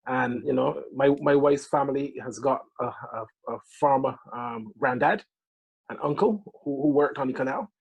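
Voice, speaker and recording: A man's voice: medium pitch, moderate pace, creaky, breathy edge, phone-quality sound. A man co-hosting a video chat, talking hesitantly and often repeating words. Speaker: co-host.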